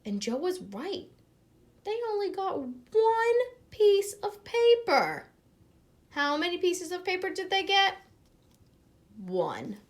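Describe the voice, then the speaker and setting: A woman reading a picture book aloud in an expressive, teacherly way, pausing to address young listeners with questions. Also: very high voice. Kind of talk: expressive children's read-aloud with asides and questions.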